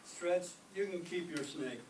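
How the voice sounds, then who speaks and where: A man's voice: sits high, unhurried. A man playing a mild, earnest, oblivious biology teacher in an old-time radio comedy. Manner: polite, earnest. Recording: echoey, distant microphone, faint noise.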